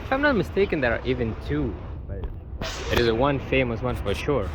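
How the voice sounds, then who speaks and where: Man vlogging over loud street noise. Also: mid-range voice, sing-song, a little fast. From Tunisia. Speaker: travel vlogger. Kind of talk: casual walking vlog with personal reflections. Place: outdoors on a busy tourist street. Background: street ambience, passing vehicles.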